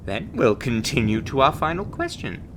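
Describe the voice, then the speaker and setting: A man's voice: medium pitch, huge pitch swings, normal speed, background noise. A man playing a chirpy, breezily menacing recruiter in an ASMR roleplay, glibly cheerful about grim things. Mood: glib, upbeat. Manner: cheerful, glib delivery of grim threats.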